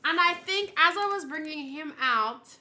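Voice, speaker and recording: Bright, high-pitched woman streamer, gushing and cutesy. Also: animated, normal speed. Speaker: streamer, host of a gaming channel. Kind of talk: casual livestream chat while unboxing plush gifts.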